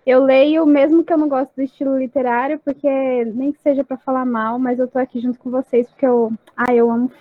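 A young woman with a Brazilian accent chats casually to a group. Her voice is fairly high and comes through dry and thin, as on a phone. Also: flat delivery, brisk, few pauses, no echo. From Mato Grosso, Brazil. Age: young adult. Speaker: book club member, student. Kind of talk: casual chat. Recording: phone-like narrow call audio.

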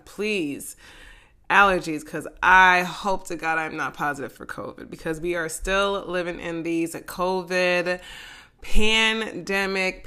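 A woman hosting a casual solo podcast from her living room, raspy and congested from a head cold, sassy and slangy with frequent laughs. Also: fairly low pitch, pushed and bright. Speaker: podcast host.

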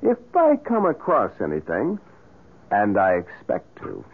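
A man's voice: fairly low pitch, wildly swooping pitch, unhurried, creaky, breathy edge, heavily acted. An American actor playing a guarded, evasive businessman in an old-time radio drama, agitated and apt to stammer. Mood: guarded, defiant. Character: defensive, evasive young businessman protecting his fiancée and secret. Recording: narrow, noisy old radio recording.

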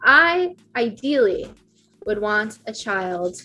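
A woman's voice: mid-range voice, sing-song, takes it slowly, pushed and bright, soft background music. A woman in her twenties, a podcast guest in casual chat, rambling and chatty with lots of "like" fillers. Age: 20s. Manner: chatty, rambling, heavy use of "like".

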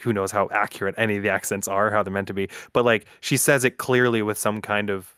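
A man's voice: fairly low pitch, level tone, quick pace, a bit bright, clean tone, no echo, clean studio sound. A man co-hosting a casual chat, talking thoughtfully with hesitant fillers and restarts. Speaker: podcast co-host.

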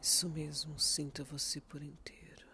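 A meditation guide with a Brazilian accent gives gentle, soothing instructions in a breathy near-whisper, leaving long pauses between prompts. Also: mid-range voice, little pitch movement, slight background noise.

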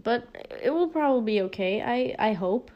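A woman's voice: on the high side, animated, moderate pace, highs cut off. A sixteen-year-old girl from Norway with a Norwegian accent, hosting a casual solo podcast, rambling with lots of fillers and self-conscious asides. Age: teen. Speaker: podcast host.

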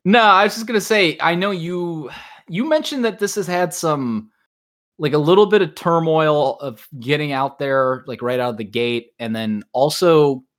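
A man hosting a podcast in casual chat, talking in a rambling, informal and self-deprecating way. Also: mid-range voice. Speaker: podcast host.

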